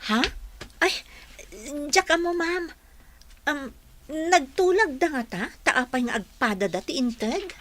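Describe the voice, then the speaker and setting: A woman's voice: sits high, lively intonation, slow, long pauses, a bit bright, full character voice. A woman playing an earnest, respectful young office employee in a radio drama, speaking with some hesitation. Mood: puzzled. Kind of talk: Ilocano radio drama acting.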